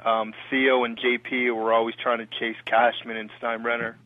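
A man's voice: mid-range voice, completely flat delivery. Canadian man calling in on a tinny phone line. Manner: hesitant, fills pauses with "uh" and "um". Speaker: radio caller. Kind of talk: phone-in call to a sports talk show. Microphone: telephone.

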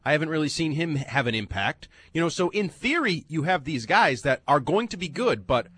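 Male radio host, brisk and argumentative, husky voice, sarcastic edge. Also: a little high, sing-song, a bit bright. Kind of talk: sports talk radio debate.

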